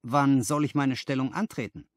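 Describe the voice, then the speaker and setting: A man voicing an eager, grateful young clerk in a story, earnest and excitable. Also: medium pitch, moderate pace, dry recording, clean studio sound, natural voice.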